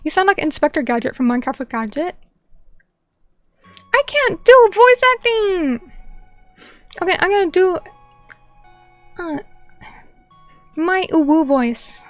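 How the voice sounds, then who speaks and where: High, bubbly young woman streamer. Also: animated, unhurried, long pauses, dry recording, soft background music. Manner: bubbly, chatty, apologetic, greets viewers by name. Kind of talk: casual live-stream chat, reading comments.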